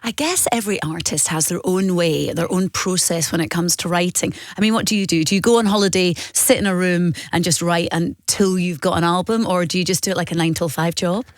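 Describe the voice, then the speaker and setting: A woman's voice: lowish voice, fairly quick, hardly any pauses, dry recording. A British woman, a radio presenter, asking a guest questions in a bubbly, enthusiastic way in the studio. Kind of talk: chatty radio interview.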